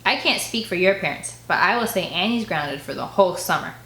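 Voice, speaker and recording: Stern dad, played by a woman narrator, measured, authoritative, audiobook, echoey. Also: fairly low pitch, lively intonation, fairly bright tone, a little hiss or hum. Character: stern, authoritative father, government man.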